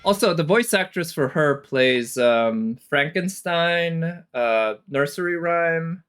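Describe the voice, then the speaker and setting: A man's voice: on the high side, expressive, slow, fairly bright tone, clean tone, soft background music. A man giving casual, off-the-cuff commentary as a streamer, analytical and hesitant as he thinks aloud. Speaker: reaction streamer.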